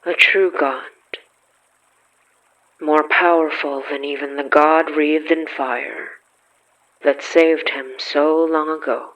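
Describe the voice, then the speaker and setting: Male storyteller for horror fiction: slightly high voice, unhurried and solemn, pausing for effect, on a slightly muffled recording. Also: fairly even intonation, a bit bright. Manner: solemn, measured, with dramatic pauses. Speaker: narrator of a horror fiction podcast. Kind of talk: scripted literary narration.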